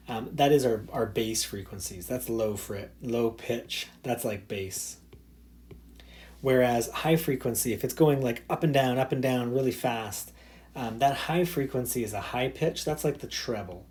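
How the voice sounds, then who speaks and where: A man's voice: medium pitch, darkish tone. Man teaching physics in easy, loose talk. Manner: casual, loose explaining with many fillers. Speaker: high school physics teacher. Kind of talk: recorded slide lesson.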